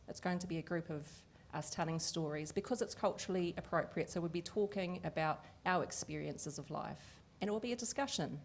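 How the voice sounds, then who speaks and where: A woman voicing a Deaf host live at an evening event, lively and chatty with frequent fillers. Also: on the low side. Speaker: sign language interpreter voicing the Deaf host. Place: event venue with an audience.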